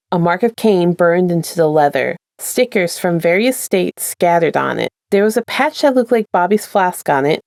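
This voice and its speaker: A woman's voice: lowish voice, vocal fry, darkish tone, no room sound. A woman presenting and reading her own fan fiction as a podfic narrator, in an informal storytelling style. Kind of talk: casual intro and scripted story narration.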